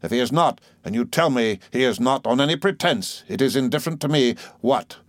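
A man reading a stern, cold middle-aged gentleman who speaks in a formal, clipped way. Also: mid-range voice, animated, fairly quick, no room sound, studio quality, pitched above the performer's own voice, close to an everyday voice. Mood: coldly stern.

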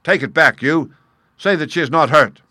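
A man's voice: lowish voice, moderate pace, fairly bright tone, no echo, lightly acted. Audiobook villain, played by a male narrator: swaggering American crook, blunt, proud, defiant, closely recorded and dry. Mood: fierce, anguished. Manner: blunt, colloquial, defiant speech. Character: swaggering American criminal, fierce, grief-stricken and proud. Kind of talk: audiobook character dialogue.

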